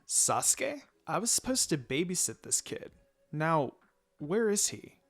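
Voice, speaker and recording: A man voicing a young female ninja in a story reading, firm and sardonic but secretly soft-hearted. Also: on the high side, big pitch swings, a little slow, slightly rough, soft background music, exaggerated voice. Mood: stern, teasing.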